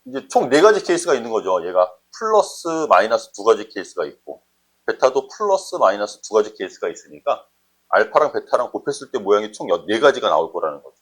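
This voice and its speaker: Husky male math teacher, lively pitch. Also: fast talker. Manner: conversational, often checks understanding with short questions. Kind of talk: informal explanatory math lesson, thinking aloud.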